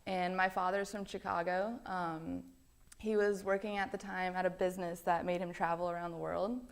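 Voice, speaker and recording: A woman's voice: on the low side, fairly even intonation, moderate pace, clean tone. A young woman, a college student, introducing herself casually with lots of ums and likes. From Belgium. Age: young adult. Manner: chatty, many ums and likes.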